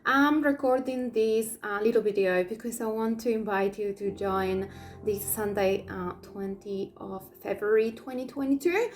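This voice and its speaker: A woman whose first language is Spanish, speaking English as a teacher in an invitational video. She asks many rhetorical questions and pauses often with fillers. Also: medium pitch, faint music behind. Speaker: Akashic Records teacher.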